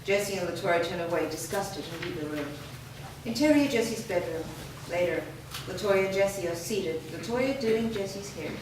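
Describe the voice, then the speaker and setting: A woman reading the stage directions aloud in a staged play reading, in an even, matter-of-fact way. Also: lowish voice, normal speed. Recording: echoey room, far mic, hiss.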